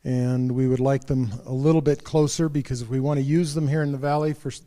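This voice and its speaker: A man's voice: medium pitch, fairly even intonation, a little fast, mellow. A man leading an in-person club meeting, making casual, rambling announcements with fillers and restarts. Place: meeting room.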